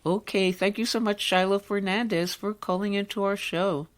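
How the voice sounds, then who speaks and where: Woman presenter, medium register, scripted arts-radio narration with a deliberate, wordy delivery. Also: medium pitch, fairly even intonation. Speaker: radio show host.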